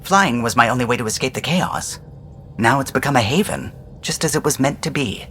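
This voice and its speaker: A man's voice: mid-range voice, animated, fairly quick, a bit bright, a little hiss or hum, close to an everyday voice. Actor as an earnest, resolute male starship pilot. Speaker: pilot character. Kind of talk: scripted video game dialogue.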